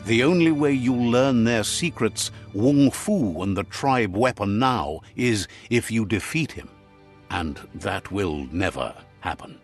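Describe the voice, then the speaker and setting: A man narrating a video game in a measured storytelling style, relaying what the characters say. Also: on the low side, animated, soft background music.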